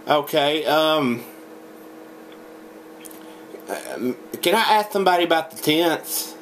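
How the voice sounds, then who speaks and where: A young man making a deadpan prank phone call, halting, stammering and rambling as he talks in a home room. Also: on the high side. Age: young adult. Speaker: prank caller. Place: small indoor home room. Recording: noisy webcam recording.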